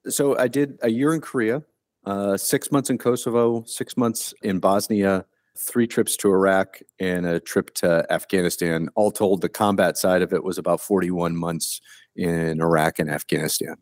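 A man in his fifties from Ohio, a retired Army colonel telling his story as a guest over a remote call, in a plainspoken, unhurried way. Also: low voice, steady tone, a little mellow, no room sound, clean studio sound. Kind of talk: casual interview conversation.

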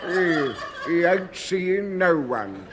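An aggrieved English cleaner in vintage radio comedy, proud and surly. He grumbles slowly in a high-pitched voice, over a clearly noisy old recording. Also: big pitch swings, full character voice. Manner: muttering, aggrieved, slow. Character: grumbling, resentful working-class sweeper, proud of his craft. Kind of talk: 1950s British radio comedy character acting.

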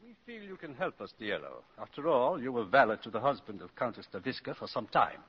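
An actor playing a dignified, frustrated ambassador with formal authority, in 1950s radio drama style. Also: male voice, medium pitch, very animated, fairly quick, breathy edge. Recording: dull 1950s radio broadcast.

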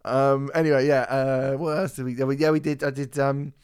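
Male podcast host, loose and chatty, a little rough-voiced, dry studio sound. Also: medium pitch, flat delivery, brisk, a little mellow, dry recording. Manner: swearing, rambling, laughs often. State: voice a bit off after karaoke. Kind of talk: casual podcast chat.

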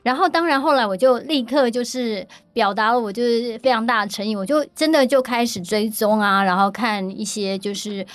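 A woman podcast host, chatting in a lively, playful, giggly way. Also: fairly high pitch, brisk, few pauses, no echo. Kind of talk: casual interview chat.

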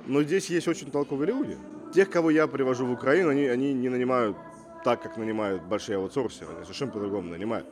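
A man's voice: medium pitch, fast talker, soft background music. A man who grew up in Russia, speaking as a guest entrepreneur in an interview, assertive and fond of rhetorical questions. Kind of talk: casual interview talk.

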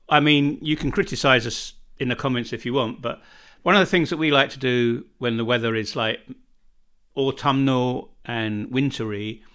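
A man's voice: medium pitch, pushed and bright. British man rambling in casual chat. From Britain. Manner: rambling, restarts sentences often. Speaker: podcast co-host. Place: home in northern Bosnia.

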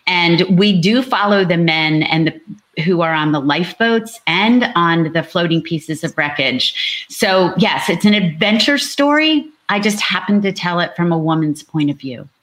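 A woman's voice: lowish voice, animated, measured pace, a bit bright. A woman author talking casually about her novel at a book launch, chatty and explanatory.

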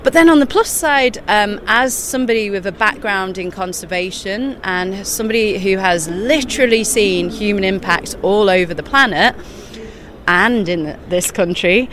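A woman talking as an interview guest at a busy exhibition show, animated and quick, often laughing mid-sentence. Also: medium pitch, slight background noise. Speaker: guest, children's author and eco-journalist. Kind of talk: casual interview chat.

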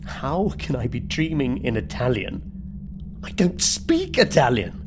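A man's voice: mid-range voice, wildly swooping pitch, noisy background. An actor playing a panicked, stammering man trapped in a nightmare, in an audio drama. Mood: confused, alarmed. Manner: halting, stammering.

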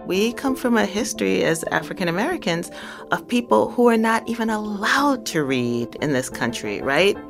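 A woman author from South Carolina speaking in a measured, thoughtful way, with soft laughs between phrases. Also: mid-range voice, expressive, gravelly, over music. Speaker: guest author. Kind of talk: reflective interview and talk excerpts.

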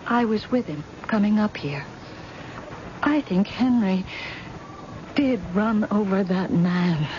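An actress playing an anxious, troubled wife with a hesitant delivery in a 1970s radio drama. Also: female voice, medium pitch, slow, long pauses, close to an everyday voice. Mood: worried. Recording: muffled, noisy old radio recording.

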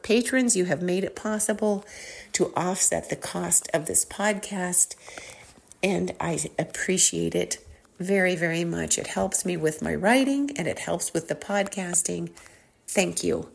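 Older woman podcast host, gravelly tone, effusive and grateful delivery. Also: lowish voice, measured pace. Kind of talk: podcast interview and intro.

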